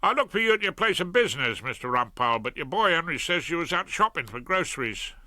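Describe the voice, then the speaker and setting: A man's voice: fairly high pitch, sing-song, fast, pushed and bright, no echo, pitched above the performer's own voice, heavily acted. A man voicing a cheerful older petty criminal with a South London working-class accent, chatty and ungrammatical. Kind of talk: audiobook character voice.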